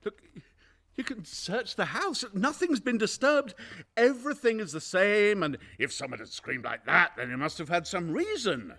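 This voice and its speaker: An actor playing a nervous, guilty murderer in a radio play, stammering and repeating himself in an over-eager, ingratiating way. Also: male voice, high-pitched, very animated, moderate pace, sharp tone, heavily acted. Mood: anxious, defensive. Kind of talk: theatrical radio play acting.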